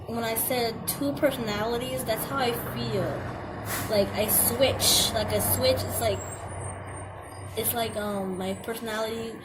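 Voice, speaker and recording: A young woman with a girlish voice reads a checklist aloud and comments on it hesitantly, stumbling over words and filling pauses. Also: a little high, steady tone. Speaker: vlogger. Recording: home video with clear background noise.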